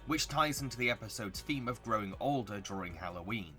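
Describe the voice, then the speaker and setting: A man's voice: on the low side, animated, a little fast, over music. A man reading scripted video essay narration as the host.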